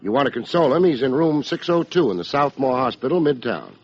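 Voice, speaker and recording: An actor playing a world-weary, sardonic private detective in an old-time radio drama, delivering wry, deadpan wisecracks and narration. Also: male voice, medium pitch, quick pace, breathy edge. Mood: wry, bantering. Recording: dull old radio recording, music behind.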